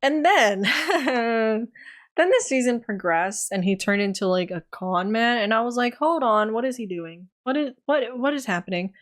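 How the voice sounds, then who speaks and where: A young woman giving an animated, exasperated review of a TV series in a casual vlog, her voice tiring. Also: medium pitch. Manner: animated, exasperated, dramatic outbursts. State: voice getting tired.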